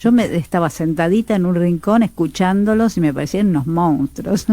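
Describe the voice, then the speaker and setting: A woman's voice: lowish voice, fairly quick, mellow, no echo. A middle-aged or older woman with a Buenos Aires accent, chatting casually as a guest in a radio interview. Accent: Argentine, Buenos Aires. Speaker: guest, voice actress and radio drama producer.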